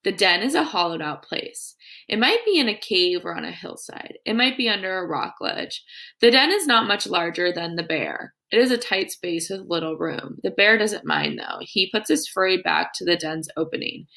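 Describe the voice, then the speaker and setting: Expressive, creaky-voiced woman reading to children. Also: on the low side. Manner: instructive, pausing to ask children questions. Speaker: teacher reading aloud to young children. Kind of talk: read-aloud lesson with commentary and questions.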